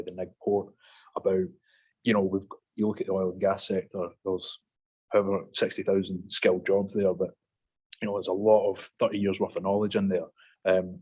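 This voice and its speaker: A man with a very low, husky voice speaks on a panel in a measured way. His delivery stays flat, and the video call audio is muffled. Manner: measured, with frequent fillers and repeats. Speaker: panel guest, industry consultant. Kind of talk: casual panel talk.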